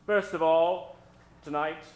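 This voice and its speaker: A man hosting a live old-time radio show, introducing characters from the stage in a dramatic, drawn-out storytelling style. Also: fairly high pitch, fairly even intonation, slight background noise. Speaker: host and announcer. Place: stage before a live studio audience. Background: musical accompaniment.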